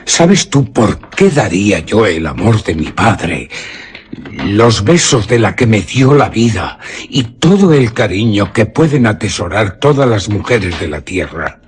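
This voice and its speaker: A man's voice: lowish voice, sing-song, measured pace, a little hiss or hum. An actor playing a lovestruck, dreamy young nobleman in a radio drama, in impassioned theatrical declamation. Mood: passionate pleading. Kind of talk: Spanish radio drama acting.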